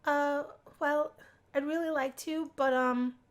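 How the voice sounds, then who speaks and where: A woman's voice: high-pitched, steady tone, slow pace. A woman voicing an awkward, polite young female student in a visual novel, hesitant and stammering.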